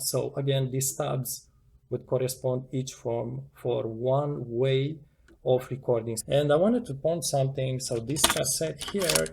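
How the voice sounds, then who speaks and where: Gravelly man explaining casually, noisy recording. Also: medium pitch. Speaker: tutorial presenter. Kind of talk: casual hands-on demonstration, unscripted. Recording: clearly noisy home recording with clicks.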